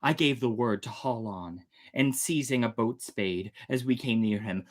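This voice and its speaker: A storyteller reading a tale aloud dramatically, theatrically swelling and hushing. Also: mid-range voice, unhurried. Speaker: storyteller, host.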